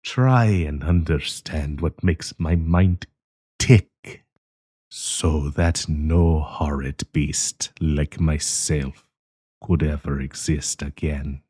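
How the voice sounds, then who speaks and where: A man playing a sinister, possessive werewolf professor in an intimate audio roleplay, coaxing and menacing, with a husky, breathy voice. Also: extremely low pitch, mellow, no room sound, studio quality, exaggerated voice. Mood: taunting, tender. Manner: slow, coaxing, menacing endearments.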